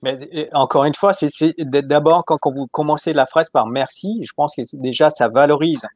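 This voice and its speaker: Halting, joking man moderating a panel. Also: on the high side, steady tone, darkish tone, no echo, clean studio sound. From Paris. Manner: joking, halting with many repeated words. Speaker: webinar moderator. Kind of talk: casual panel discussion.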